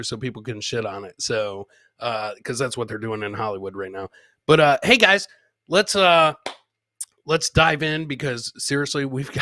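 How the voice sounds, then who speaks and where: A man's voice: medium pitch, bright, forceful tone. A man hosting a live comedy podcast, chatting informally with viewers and swearing casually. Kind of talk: casual live show chat.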